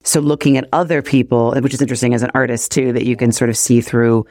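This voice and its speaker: A male podcast host who asks short, curious follow-up questions. His pitch sits in the middle, and the recording is dry and close. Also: fairly even intonation, fast, a little mellow, no room sound. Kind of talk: interviewing, brief reactions.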